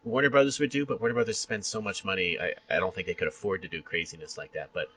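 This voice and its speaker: Gravelly, bright-voiced male podcast host. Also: sits low, steady tone. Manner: enthusiastic, rambling, quick to laugh. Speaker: podcast host interviewing a guest. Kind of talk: casual fan chat interview.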